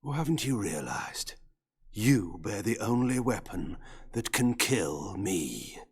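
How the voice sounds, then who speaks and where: Proud old vampire lord for a video game, husky and slow, very theatrical and imperious. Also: male voice, big pitch swings, exaggerated voice. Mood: controlled, insistent. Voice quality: husky, gravelly low voice. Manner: measured, imperious, theatrical delivery. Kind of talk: dramatic video game cutscene acting.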